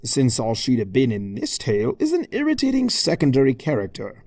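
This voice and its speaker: Comic audiobook narrator: arch, theatrical delivery, swooping intonation, mid pitch, dry recording. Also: huge pitch swings, brisk, a little mellow, dry recording. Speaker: fan fiction narrator.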